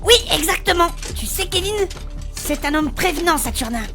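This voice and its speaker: A woman's voice: extremely high pitch, expressive, normal speed, sharp tone, exaggerated voice. A woman playing a brash, bossy, slangy adventurer in a comic fantasy audio drama. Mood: teasing, brash. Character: brash, bossy female adventurer with a mechanical hand. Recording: music behind the voice.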